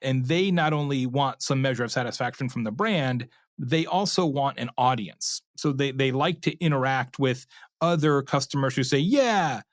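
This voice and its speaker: A man with a mid-range voice speaks as an expert guest in an interview. He explains in a conversational, storytelling way, slipping into imagined quotes and checking in with the listener. The sound is dry, with very little room echo. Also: mid-range voice. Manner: animated storyteller, acts out imagined customer quotes, checks in with "right?".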